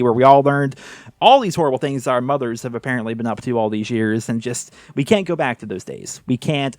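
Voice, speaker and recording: A man's voice: medium pitch, dry recording. A young man chatting casually as a gaming YouTuber, rambling and candid with lots of "you know" and "right". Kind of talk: casual commentary video.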